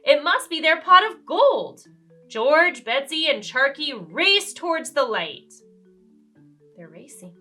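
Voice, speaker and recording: A woman reading a children's storybook aloud in an expressive way, speaking directly to young listeners. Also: sits high, slow, soft background music. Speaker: children's read-aloud host. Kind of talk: animated children's storybook narration.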